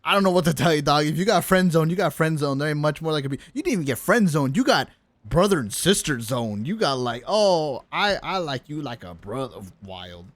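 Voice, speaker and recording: A man's voice: fairly high pitch, lively intonation, fast talker, no echo. A man giving casual live game commentary in a brash, slangy, profane and joking way. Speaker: game streamer. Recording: music under the voice.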